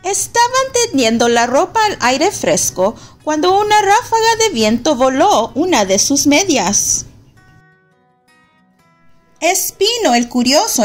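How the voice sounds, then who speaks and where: A woman reading a children's picture book aloud in a slow, expressive storytelling manner. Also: sits high. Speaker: storybook reader.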